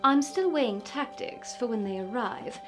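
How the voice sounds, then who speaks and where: A woman playing a mockingly polite smuggler boss villainess who taunts a captive with deliberate, condescending menace in a fantasy roleplay. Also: a little high, lively intonation, music in the background, natural voice. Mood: smug scheming. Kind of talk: point-of-view fantasy roleplay.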